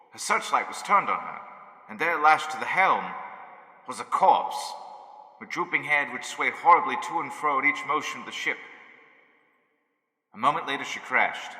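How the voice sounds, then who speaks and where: An actor playing a solemn newspaper correspondent, narrating a radio horror drama in a theatrical, measured, ominous way. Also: male voice, on the high side, bright, forceful tone. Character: solemn male newspaper correspondent reporting eerie events. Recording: echoey hall-like reverb.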